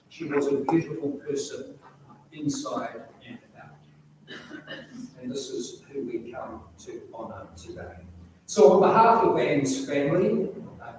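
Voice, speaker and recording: Older New man, slow in echoey church. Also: on the high side, a little hiss or hum. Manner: loud unamplified voice, measured with frequent ums. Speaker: funeral officiant. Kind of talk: funeral address. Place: large church hall. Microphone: distant recorder, no amplification. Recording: echoey church recording from far off.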